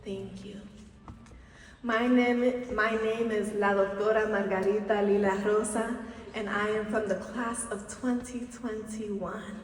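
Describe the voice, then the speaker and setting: A woman from the Dominican Republic giving a commencement keynote, speaking slowly and deliberately with emphatic pauses. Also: mid-range voice, fairly even intonation, slight background noise. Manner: slow, deliberate, rhetorical speech with emphatic pauses. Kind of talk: commencement speech. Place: large auditorium stage. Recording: distant, echoey hall with long reverb.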